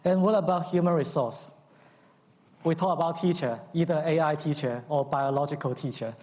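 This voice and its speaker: A man with a Cantonese accent giving a formal speech at a conference, measured, with frequent ums and uhs. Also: on the high side, darkish tone. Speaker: conference speaker. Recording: long hall reverb.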